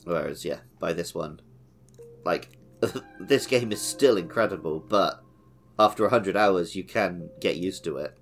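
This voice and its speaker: A man with a very low-pitched voice talks casually as a podcast co-host. His delivery is informal and conversational, with light music behind him. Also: unhurried. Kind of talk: casual chat, video game discussion. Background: background music.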